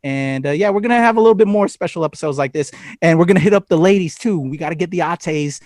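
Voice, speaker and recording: A laid-back, slangy male podcast host with a fairly high voice. He is recorded close and dry, with no room sound. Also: fast, darkish tone, dry recording. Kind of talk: casual podcast intro.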